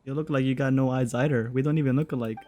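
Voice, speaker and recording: A man's voice: medium pitch, steady tone, quick pace. A young man giving casual, slangy, jokey commentary as he plays a game, swearing freely. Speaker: game streamer.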